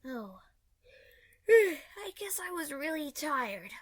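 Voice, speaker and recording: A woman's voice: high-pitched, very animated, takes it slowly, heavily acted. A woman voicing a brash young female pegasus pony, impatient and boastful, in a fan audio drama. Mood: groggy. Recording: music under the voice.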